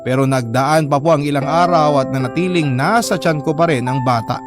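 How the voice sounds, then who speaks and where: A man's voice: on the high side, a little fast. A man with a Filipino accent narrating a personal story in a dramatic, scripted radio style. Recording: music bed behind the voice.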